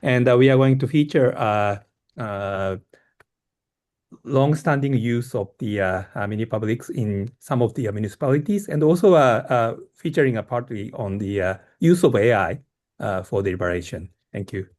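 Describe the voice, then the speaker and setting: Halting male speaker with heavy fillers, mid-pitch, giving a brief update over a video call. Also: darkish tone. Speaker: research forum organizer. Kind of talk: meeting update.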